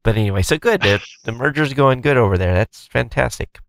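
Gravelly, chatty male podcast co-host. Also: medium pitch, brisk, no room sound. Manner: chatty, rambling, animated questions. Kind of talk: casual podcast chat.

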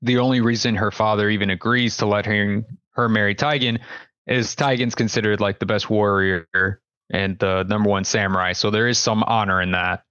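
A man's voice: on the low side, steady tone, moderate pace, fairly bright tone, clean tone, no room sound, highs cut off. A Mexican-man, co-hosting a casual show review and recapping plot matter-of-factly. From Mexican-American, United States. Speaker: podcast co-host.

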